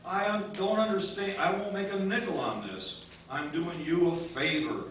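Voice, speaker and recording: A man playing a smooth-talking interstellar junk dealer, using persuasive salesman patter in a live recreation of a 1950s radio drama, recorded in an echoey hall from far off. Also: sits high, normal speed, highs cut off, slight background noise, natural voice.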